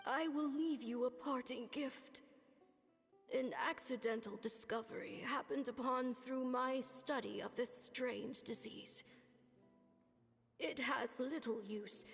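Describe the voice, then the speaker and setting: An actress playing an ailing female doctor and mentor in a game, weary but resolute, speaking in a solemn, measured way. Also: fairly high pitch. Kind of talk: dramatic game voice acting. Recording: long echoing reverb under music.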